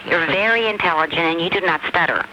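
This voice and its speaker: A man's voice: a little high, quick pace. A man speaking casually as a caller to a radio talk show. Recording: phone call on hissy shortwave tape.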